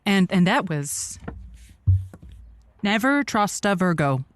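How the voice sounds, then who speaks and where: A woman's voice: mid-range voice, big pitch swings, takes it slowly. A woman playing a flustered, rambling radio advice host who stammers earnestly and gives grand, sentimental counsel in an improvised audio drama. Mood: sagely reassuring.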